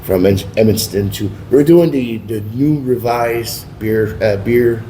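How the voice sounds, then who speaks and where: A man's voice: medium pitch, a little slow, mellow. A man chatting casually as a co-host in an interview. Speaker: show co-host. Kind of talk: casual chat. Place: indoors at a distillery. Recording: faint room noise, air conditioning.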